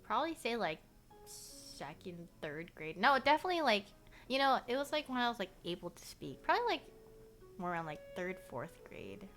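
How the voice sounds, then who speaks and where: A woman's voice: medium pitch, big pitch swings, moderate pace, a bit bright, faint music behind. A young woman whose first language is Korean, livestreaming casual chat with viewers. She rambles, fills her talk with "like," and laughs often.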